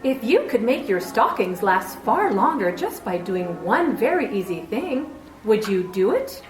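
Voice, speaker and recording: A woman delivers an old radio ad in a chirpy, persuasive voice that leaps around in pitch. She sounds distant from the mic. The vintage broadcast is roomy. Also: big pitch swings, a little slow, soft background music. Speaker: commercial announcer. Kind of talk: 1940s radio commercial.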